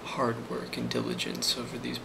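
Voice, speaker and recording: A man speaking softly in an ASMR role play as a boss, hesitant and reassuring, with frequent pauses. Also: medium pitch, completely flat delivery, creaky, noisy background. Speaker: ASMR role-player playing a boss giving a review.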